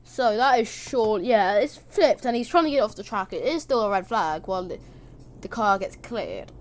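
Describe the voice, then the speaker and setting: A woman commentating a live sim racing stream. She rambles quickly in casual chat and breaks into excited shouting at crashes. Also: mid-range voice. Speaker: livestream race commentator. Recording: steady background noise and hum.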